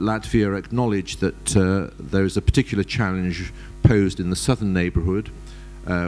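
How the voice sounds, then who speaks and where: Low-voiced man giving a halting panel talk. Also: a little mellow. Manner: measured, many hesitations. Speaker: panelist, ambassador. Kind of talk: formal conference talk.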